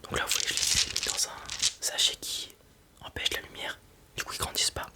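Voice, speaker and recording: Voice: brisk, natural voice. A performer voicing a reassuring fantasy dragon breeder in an ASMR roleplay, whispering breathily and explaining things informally, with small hesitations. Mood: informal, explanatory.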